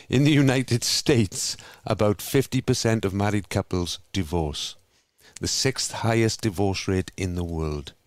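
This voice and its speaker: A Welsh man hosting a video call meetup, reading a steady welcome with small slips. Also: sits low, no room sound. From Wales. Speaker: host.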